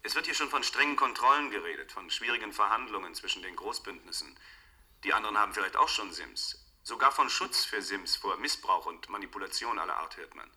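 A man's voice: fairly low pitch, animated, fairly quick, bright, forceful tone. An actor playing a serious, thoughtful man in a German science-fiction radio play. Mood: measured, explaining. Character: serious, thoughtful man reporting on a future crisis.

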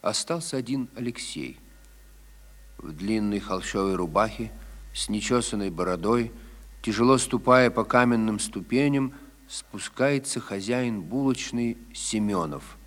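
A man delivering scripted documentary narration in an expressive, storytelling way. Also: lowish voice, measured pace.

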